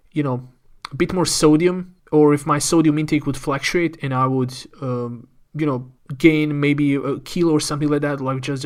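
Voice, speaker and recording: A man, speaking as a podcast guest and candidly telling a personal story, often repeating words as he thinks. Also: medium pitch. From Croatia. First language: Croatian. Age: 30s.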